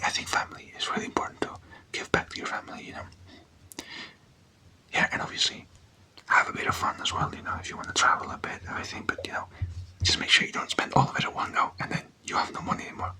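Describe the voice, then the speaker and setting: A person doing casual, playful ASMR in a breathy whisper, chatting and putting questions to the listener. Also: quick pace. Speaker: ASMR content creator.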